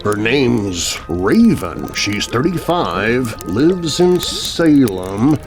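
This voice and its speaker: A man's voice: medium pitch, sing-song, drawn-out words, music in the background, exaggerated voice. A man voicing a mild, easygoing middle-aged dad in a children's story. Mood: mildly surprised.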